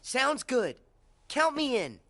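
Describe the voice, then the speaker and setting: A man's voice: extremely high pitch, very animated, takes it slowly, a bit bright, no room sound, full character voice. A man voicing a plucky, hot-headed teenage boy hero in a video game, earnest and impulsive. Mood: puzzled. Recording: game music under the voice.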